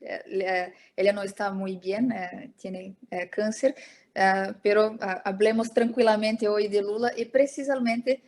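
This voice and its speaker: A woman's voice: medium pitch, steady tone, measured pace, a little mellow. A woman giving an academic talk over a video call, hesitant, with many fillers. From Brazil. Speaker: lecturer. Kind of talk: academic presentation.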